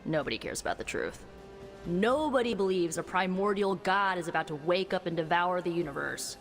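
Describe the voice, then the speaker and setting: A woman's voice: lowish voice, expressive, fairly quick, big-room sound, soft background music. An actress playing a blunt, jaded young female witch who talks in a dry, sardonic way. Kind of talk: video game cutscene acting.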